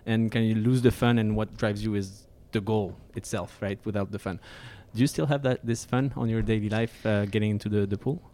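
A man's voice: lowish voice, steady tone, a little fast, hardly any pauses, mellow. A man from France with a French accent, hosting a casual interview and asking long, winding questions full of restarts. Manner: friendly, rambling questions with restarts. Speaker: podcast host.

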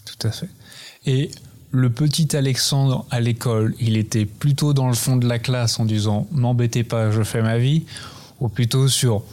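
A man's voice: mid-range voice, steady tone, moderate pace, darkish tone. A man hosting a podcast, asking interview questions in an informal but polite, conversational way.

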